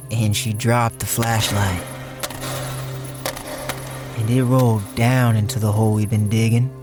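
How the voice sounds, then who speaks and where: An actor playing a tough, impatient crook, narrating a noir audio drama in a gruff, slangy storytelling way over music. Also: male voice, medium pitch, close to an everyday voice. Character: tough, impatient male crook recounting a sinister tale.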